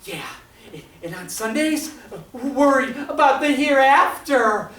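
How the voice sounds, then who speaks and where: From far off on an echoing stage comes a woman acting a manic, embittered artilleryman in an old-time radio play. The voice is high and unhurried overall, but stammers excitedly and swells toward shouts as he schemes to rule. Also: full character voice. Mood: bitter, sardonic. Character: manic, bitter male artilleryman dreaming of ruling the world. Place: stage performance in a roomy theatre. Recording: echoey stage, captured from far off.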